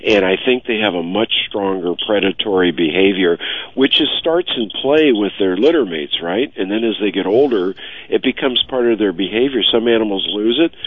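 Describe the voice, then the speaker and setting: A man, a veterinarian, giving relaxed, reassuring advice to callers on a radio show. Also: fairly low pitch, moderate pace, a little hiss or hum. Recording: phone-like narrow studio sound.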